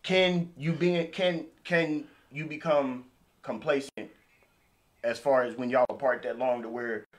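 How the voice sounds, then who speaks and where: A man's voice: mid-range voice, steady tone, a little slow. A man chatting casually on a podcast, informal, often putting questions to the others and teasing. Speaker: podcast co-host. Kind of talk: casual chat.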